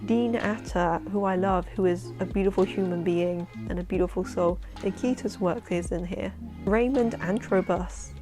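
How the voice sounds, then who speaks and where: A young woman with a husky voice and a London accent talks to camera in a chatty, excitable way, with quick asides. Her voice sits at an ordinary middle pitch. Clear background music plays underneath her. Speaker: poet and vlogger. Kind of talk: casual vlog chat to camera. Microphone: camera-mounted shotgun mic.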